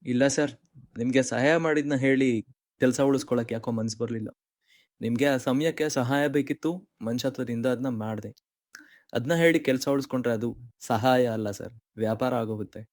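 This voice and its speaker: Man playing humble young man, mellow voice. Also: medium pitch, moderate pace, dry recording, clean studio sound, natural voice. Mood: dejected. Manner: polite, earnest, subdued. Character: dejected, humble, good-hearted young man. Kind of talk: dramatic dialogue in a cartoon short story.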